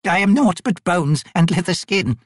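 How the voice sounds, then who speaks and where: Brief: ancient wisewoman, grieving and bitter, ragged high voice, breathy, dry booth. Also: lively intonation, moderate pace, no room sound. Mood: despairing. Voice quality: cracked, ragged.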